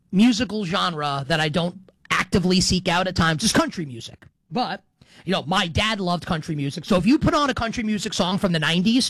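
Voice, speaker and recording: A man's voice: high voice, a bit bright, no echo, low-quality recording. A middle-aged man hosting a sports talk show in a casual monologue, digressing, repeating and qualifying his points for emphasis.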